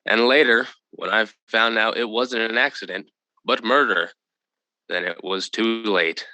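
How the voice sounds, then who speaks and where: An actor playing a grim, haunted witness narrating intensely in a 1950s radio thriller. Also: male voice, fairly low pitch, measured pace, sharp tone, no room sound, rough recording. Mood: grim dread. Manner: intense, dramatic first-person narration.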